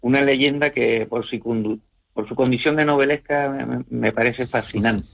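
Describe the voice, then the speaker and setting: A man, a novelist from the Canary Islands, answering radio interview questions in a measured, thoughtful way with frequent hesitations and tag questions. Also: mid-range voice, steady tone, gravelly, fairly bright tone. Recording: muffled radio broadcast.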